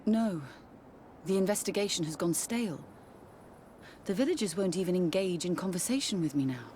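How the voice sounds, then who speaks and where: A serious woman investigator, played by an actress in a fantasy game. Her voice is on the low side, formal and businesslike, over faint ambient noise. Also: moderate pace, dark tone, natural voice. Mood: serious, frustrated. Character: serious, determined female investigator in a fantasy world. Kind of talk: video game dialogue.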